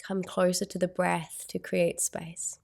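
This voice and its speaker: A woman leading a guided meditation in a soothing, unhurried way, with gentle phrasing and long pauses. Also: lowish voice, little pitch movement, gravelly.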